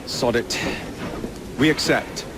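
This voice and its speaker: An actor playing a blunt, cheeky young Victorian rogue in a video game, wry and informal, with an English accent. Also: male voice, mid-range voice, slow, heavy background noise, lightly acted. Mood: frank. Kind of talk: video game cutscene acting.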